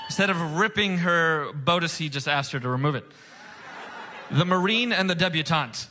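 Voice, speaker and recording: A man's voice: on the high side, animated, normal speed, bright, forceful tone, a little hiss or hum. A man hosting a comedy game show on stage, with a showy, emphatic announcer delivery. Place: stage before a live audience.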